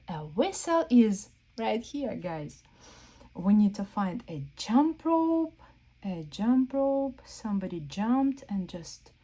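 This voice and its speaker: A woman's voice: mid-range voice, mellow. A woman reading a picture book aloud to young children, asking simple, repeated questions and prompting them to look. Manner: playful question-and-answer, prompting listeners to look; simple, repetitive phrasing aimed at small children. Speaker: children's read-aloud host.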